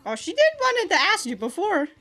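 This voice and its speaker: A woman giving casual, slangy reaction commentary as the host of a video, in an animated, informal way. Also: very high voice, fast talker, soft background music.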